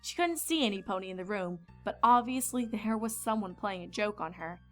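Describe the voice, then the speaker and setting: A woman's voice: mid-range voice, brisk, a bit bright. A woman reading scripted storybook narration as the narrator. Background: background music.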